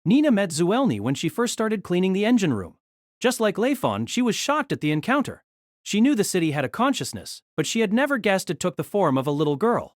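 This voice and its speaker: A woman narrates a scripted audio story in a mid-range voice. Her intonation moves in a lively way, and the recording is dry, with no room sound. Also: mid-range voice, brisk, no room sound.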